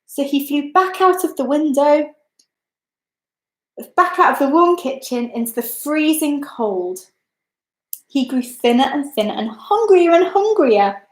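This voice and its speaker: Animated woman storyteller in a roomy space. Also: high voice. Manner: expressive, deliberate storytelling. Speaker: children's storyteller. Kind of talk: scripted children's story narration. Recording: echoey, roomy sound.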